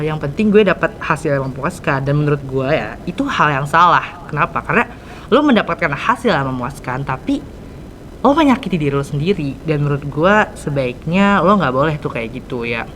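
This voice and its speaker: A man's voice: fairly high pitch, animated, moderate pace, fairly bright tone, a little hiss or hum. Teenage boy hosting, filler-heavy. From Indonesia. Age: teens. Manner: slang-heavy, many fillers. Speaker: student podcast host. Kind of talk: casual podcast interview.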